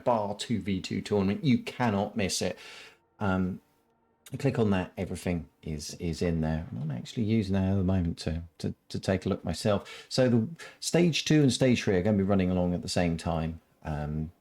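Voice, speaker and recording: Low-voiced man casting hesitantly. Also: a little mellow. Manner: halting, many ums, laughs often. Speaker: esports caster. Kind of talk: live game commentary.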